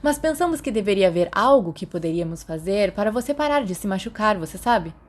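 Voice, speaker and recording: A woman's voice: mid-range voice, animated, fast, lightly acted. A woman voicing an earnest, persuasive teenage schoolboy in an audiobook, explaining and reassuring. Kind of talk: audiobook character dialogue.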